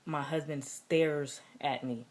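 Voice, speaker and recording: A young woman with a deep voice, talking earnestly and reflectively in a personal vlog, with many fillers. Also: steady tone, takes it slowly, darkish tone. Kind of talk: personal vlog contest entry.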